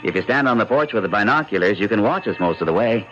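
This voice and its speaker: Animated, reassuring radio-drama husband played by an actor. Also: male voice, fairly low pitch, fast talker, natural voice. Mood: breezy, reassuring. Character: rational, dismissive husband and father, breezily reassuring. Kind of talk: old-time radio drama acting. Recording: dull lo-fi radio recording, faint music.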